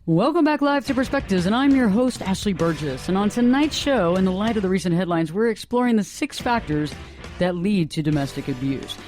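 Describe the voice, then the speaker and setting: A woman's voice: mid-range voice, animated, a little fast, darkish tone, soft background music. A woman hosting a live radio talk show, speaking with energy and emphasis and repeating her points.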